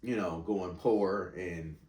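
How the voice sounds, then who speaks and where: A man's voice: low voice, slow pace, echoey room, distant mic, a little hiss or hum. A man in his thirties with a stuffy, congested voice, rambling casually through a sneaker review from home. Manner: rambling, relaxed and chatty. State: congested with a cold.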